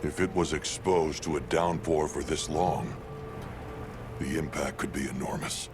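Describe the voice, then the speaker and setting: Expedition teammate for a game: extremely deep, measured, plain-spoken, loud music behind. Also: male voice. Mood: concerned, steady. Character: steady, supportive male expedition member. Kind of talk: video game character acting.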